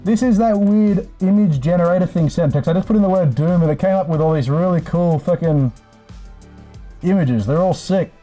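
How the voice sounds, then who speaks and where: High-pitched, mellow man chatting on a livestream. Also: moderate pace. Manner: laid-back, sweary, chummy address to viewers. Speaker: live streamer host. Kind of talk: casual livestream chat. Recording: music playing in the background. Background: background music.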